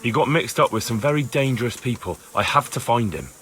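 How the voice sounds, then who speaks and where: A man's voice: medium pitch, lively intonation, brisk, fairly bright tone, slight background noise, lightly acted. An actor playing a determined young man in a video game, pressing people with matter-of-fact questions. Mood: earnest, probing. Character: determined young man searching for a missing villager. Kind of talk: video game cutscene acting.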